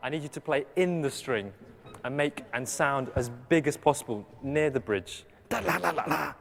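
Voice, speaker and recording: A man's voice: fairly high pitch, expressive, normal speed, vocal fry, long reverb tail. A male conductor, a native Cantonese speaker, rehearsing an orchestra, counting and singing rhythms aloud with polite requests. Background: orchestra playing.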